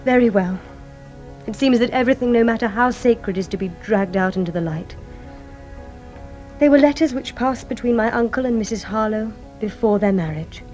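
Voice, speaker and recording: A woman's voice: a little high, moderate pace, darkish tone, soft background music, lightly acted. An actress playing a composed, proud young French lady in a radio drama, speaking formally and with restraint. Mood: reluctant, wounded. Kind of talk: British radio drama acting.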